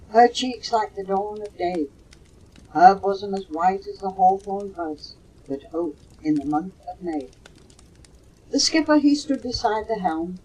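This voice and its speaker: An older woman with a husky voice, reciting poetry slowly and expressively on a low-fidelity home cassette recording. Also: a little mellow, low-quality recording. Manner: slow, measured, dramatic storytelling delivery. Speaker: mother reading poems for her children.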